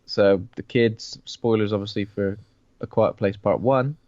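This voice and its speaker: A man's voice: fairly low pitch, steady tone, a little slow, a little mellow, dry recording, muffled recording. A man with a cold co-hosting a horror film podcast, joking and laughing as he chats, often repeating words. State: sniffly with a cold. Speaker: podcast co-host. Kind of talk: casual film podcast chat.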